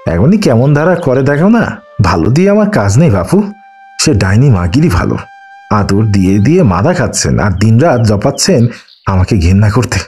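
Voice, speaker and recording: A man's voice: fairly low pitch, big pitch swings, moderate pace, darkish tone, breathy edge, faint music behind. A man voicing a wilful, complaining young mother and sister-in-law with an emphatic colloquial manner, in an audiobook. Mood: bitter, grumbling.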